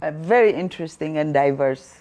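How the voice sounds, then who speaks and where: A woman's voice: sits low, brisk, dark tone. A woman hosting an interview show, welcoming and questioning her guest in an encouraging way. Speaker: talk show host.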